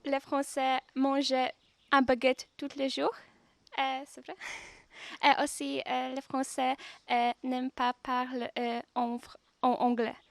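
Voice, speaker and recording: A young woman from Poland with a Polish accent, a volunteer speaking French hesitantly with many pauses. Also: sits high, completely flat delivery, vocal fry, dry recording. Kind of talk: interview testimony.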